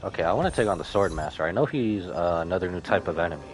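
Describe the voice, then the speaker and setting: A man's voice: deep voice, animated, racing. A man live-streaming a game, reacting casually with playful, slangy commentary. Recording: game music behind the voice.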